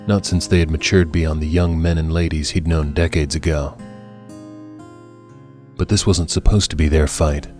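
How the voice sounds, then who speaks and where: Narrator for adult fiction: man with a low register, dramatic scripted read, guitar underscore. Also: steady tone, moderate pace, long pauses, a little mellow.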